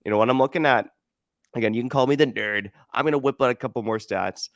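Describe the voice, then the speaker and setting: A man hosting a sports podcast, laying out his views in a measured, stat-heavy way with a lot of "you know". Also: medium pitch, no echo, clean studio sound. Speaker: podcast host. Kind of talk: casual sports analysis.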